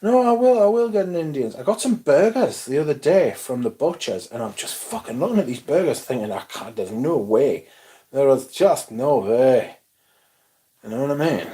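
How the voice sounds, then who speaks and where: A young man hosting a casual livestream, reading chat comments aloud and chatting in a loose, relaxed way with casual swearing. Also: medium pitch, normal speed. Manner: laid-back, swears casually, mumbles asides, reads chat aloud. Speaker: livestream host.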